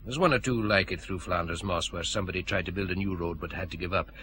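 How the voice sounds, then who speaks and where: An obliging Scottish county sergeant in a radio play. His voice is lowish and forceful, deferential in manner, with faint ambience behind. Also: quick pace, slight background noise. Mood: obliging, helpful. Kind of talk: radio drama acting.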